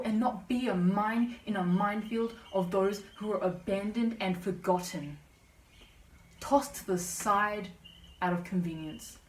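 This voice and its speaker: A young woman student performing slam poetry with a dramatic, emphatic, rhythmic delivery. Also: medium pitch. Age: young adult. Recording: distant, echoey room pickup.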